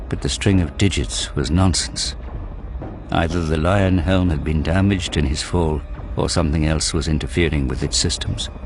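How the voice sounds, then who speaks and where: A man narrating a war story in a grave, deliberate, dramatic scripted style. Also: extremely low pitch, noisy background. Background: prominent dramatic music.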